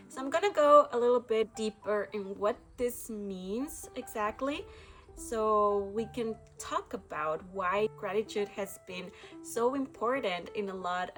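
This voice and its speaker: Woman hosting a spiritual talk. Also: mid-range voice. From Guatemala. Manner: reflective, laughs often, many fillers and restarts. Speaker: spiritual channel host. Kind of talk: casual spiritual talk to camera.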